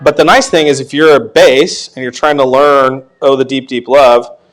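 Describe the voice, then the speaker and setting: A man with a tenor voice, not deep, teaching a casual Sunday school class on singing, talking haltingly with many restarts. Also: steady tone, faint music behind, low-quality recording. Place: church classroom.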